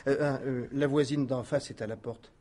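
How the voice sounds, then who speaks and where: A man's voice: mid-range voice, steady tone, normal speed, warm tone, lightly acted. A man playing a deferential police subordinate who reports factually in a radio crime drama. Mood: businesslike. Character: dutiful, matter-of-fact male police subordinate reporting. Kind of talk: 1950s-style French radio crime drama acting.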